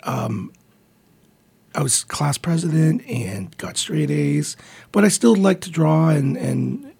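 A man's voice: a little high, animated, slow pace, gravelly, a little mellow, husky. A man around forty, born in the Philippines and raised in Montreal, talking as a guest in a radio studio interview, friendly and hesitant with many ums. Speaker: guest, comic book writer.

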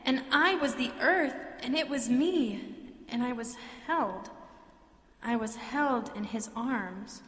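A woman playing a self-possessed young maiden in a comic myth drama, dreamy and deliberate with a deadpan wit. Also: a little high. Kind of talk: theatrical storytelling and comic dialogue. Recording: reverberant hall.

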